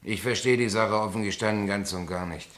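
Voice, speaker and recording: An actor playing a naive but sensible, unassuming newcomer president in a German radio play, questioning and matter-of-fact. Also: male voice, lowish voice, brisk, fairly bright tone, lightly acted. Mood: puzzled, skeptical. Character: plain-spoken, naive, sensible new opera board president.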